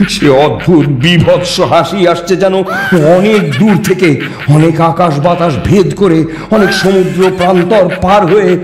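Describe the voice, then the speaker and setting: A man reading a ghost story in the first person, theatrical and suspenseful, with deliberate pauses and repetitions. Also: a little high, noisy background. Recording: echoing reverb with music underneath. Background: background music.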